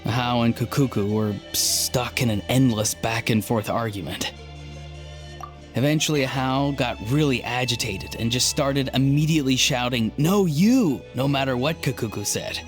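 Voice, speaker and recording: Guy acting as friendly young villager in a game, normal pitch, casual explainy talk, bit of music behind. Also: normal speed, natural voice. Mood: explaining. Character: Auroron, friendly, earnest young man, a villager. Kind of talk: conversational video game voice acting.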